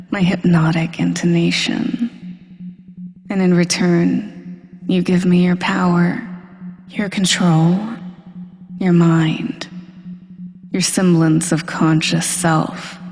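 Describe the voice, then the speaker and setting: A woman performing an intimate, commanding hypnosis roleplay monologue, teasing and deliberate, often dipping toward a breathy near-whisper. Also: fairly low pitch, darkish tone. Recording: long reverberant hall-like echo.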